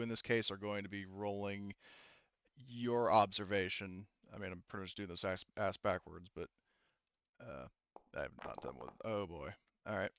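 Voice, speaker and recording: A man running a tabletop game as game master, casually improvising narration in a halting, sweary way as he thinks aloud into a headset. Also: fairly low pitch, fairly bright tone, smooth, no room sound, highs cut off. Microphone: headset mic.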